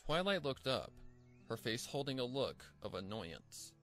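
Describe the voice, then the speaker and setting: A man reads scripted fiction as the narrator. His mid-pitched voice moves in a lively, animated way. Faint music plays behind the storytelling. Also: measured pace.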